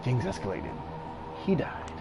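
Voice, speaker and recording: A man voicing a raspy, androgynous woman with a hateful, mocking, patronizing tone. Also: on the low side, huge pitch swings, a little slow, music in the background, heavily acted.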